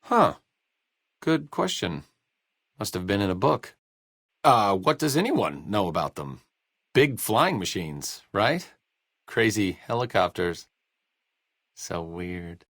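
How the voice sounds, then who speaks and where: Video game companion: a bookish, sarcastic male doctor, idealist and self-deprecating, very varied pitch, no room sound. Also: very animated, takes it slowly, gravelly, long pauses, fairly bright tone, dry recording, exaggerated voice. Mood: musing. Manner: dry, sarcastic, wordy delivery.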